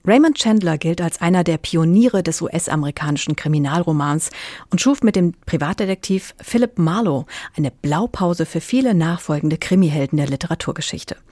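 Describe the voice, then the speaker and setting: A woman's voice: on the low side, a little mellow, dry recording, studio quality. A woman hosting a radio book programme, presenting from a script and putting questions in an orderly way. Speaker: radio host.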